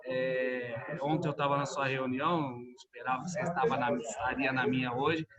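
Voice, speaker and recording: A man's voice: mid-range voice, steady tone, moderate pace, over a noisy crowd. A man with a Brazilian Portuguese accent who chairs a meeting, speaking in a courteous, formal way.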